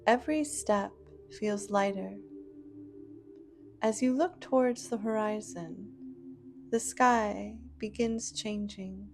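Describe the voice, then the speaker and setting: A woman guiding a scripted imagery meditation in a gentle, soothing, unhurried manner with long pauses. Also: mid-range voice, soft background music. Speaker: meditation guide.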